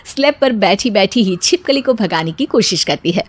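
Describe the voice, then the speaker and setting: A woman's voice: medium pitch, expressive, moderate pace. A woman narrating a scripted cartoon storybook tale as its storyteller.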